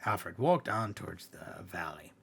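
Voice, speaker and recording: A man with a rough voice reading horror stories aloud in a dramatic way, dropping to a hush at phrase ends. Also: deep voice, animated, unhurried. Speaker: story reader, host of a bedtime story show. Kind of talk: expressive read-aloud horror storytelling.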